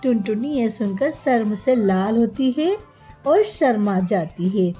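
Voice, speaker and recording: Slow, mellow woman storyteller. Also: fairly high pitch, expressive, breathy edge, faint music behind. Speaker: narrator. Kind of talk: scripted children's storytelling narration.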